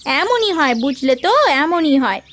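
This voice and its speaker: A woman's voice: high-pitched, animated, measured pace, a bit bright, a little hiss or hum. A woman playing an insistent, chiding wife and mother in a cartoon story. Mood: nagging. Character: nagging, practical wife and mother of a grown daughter. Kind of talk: Bengali cartoon story dialogue.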